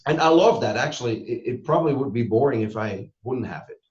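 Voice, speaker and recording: A man's voice: medium pitch, expressive, a little fast. A man from Switzerland, talking conversationally as a trainer being interviewed. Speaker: interview guest, hypnosis trainer and school owner. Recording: roomy echo, slightly dull sound.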